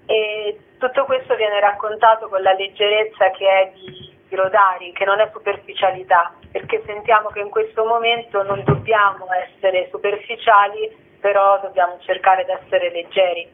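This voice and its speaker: A woman's voice: medium pitch, fairly even intonation, normal speed, hardly any pauses. Woman interviewed over a tinny phone line. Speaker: theatre project organiser, guest. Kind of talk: phone interview, spontaneous explaining. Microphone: telephone.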